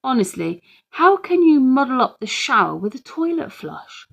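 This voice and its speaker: A woman's voice: high-pitched, big pitch swings, moderate pace, creaky, fairly bright tone, voice pushed up, heavily acted. A woman voicing a jokey, mock-indignant dad in a children's storybook, with a teasing, sarcastic manner.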